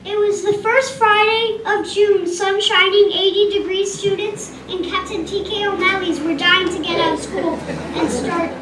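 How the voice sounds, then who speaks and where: A friendly baker puppet voiced by a woman narrates a children's tale in a very high voice. She is heard at a distance in a roomy hall with clear background noise. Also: steady tone, normal speed, a bit bright. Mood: friendly storytelling. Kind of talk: scripted puppet show storytelling.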